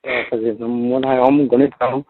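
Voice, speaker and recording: A man's voice: mid-range voice, level tone, normal speed. A man with a Marwari accent chatting casually in a private phone call, recorded over a narrow, tinny phone line. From Rajasthan, India.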